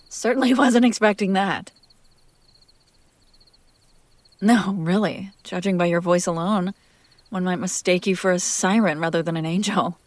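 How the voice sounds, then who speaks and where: A woman's voice: fairly low pitch, dry recording, natural voice. A woman playing a possessive, sardonic and curious female demon in an intimate, wry audio roleplay spoken to the listener. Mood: amused teasing. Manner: teasing, wry, conversational, breaking into hushed whispers.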